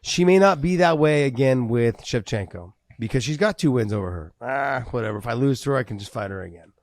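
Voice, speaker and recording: Male podcast co-host, mid-pitched, animated and wordy, dry studio mic. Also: brisk, no echo. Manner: animated, repeats words as he builds a point. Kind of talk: casual MMA podcast chat.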